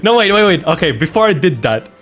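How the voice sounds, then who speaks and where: A man streaming a game, chatting casually and telling anecdotes in an animated, joking way with mock innocence. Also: high voice, fast, soft background music. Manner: animated, jokey storytelling with mock-innocent protests. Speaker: streamer playing a video game and host of the channel.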